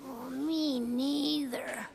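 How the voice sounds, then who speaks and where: A woman's voice: high voice, fairly even intonation, very slow, creaky, darkish tone, a little hiss or hum, exaggerated voice. A woman voicing a sensible, doubtful little girl, a sidekick friend in a children's cartoon, with a matter-of-fact manner. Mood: queasy.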